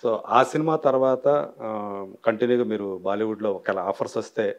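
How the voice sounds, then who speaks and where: A man's voice: on the low side, a little fast. A man interviewing someone casually, probing with follow-up questions and short acknowledgements.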